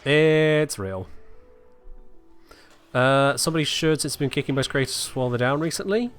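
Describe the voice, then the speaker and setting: A man's voice: on the high side, animated, normal speed, clear, resonant tone, soft background music. A man streaming a game while sick, chatting casually and answering his chat, with a cough now and then.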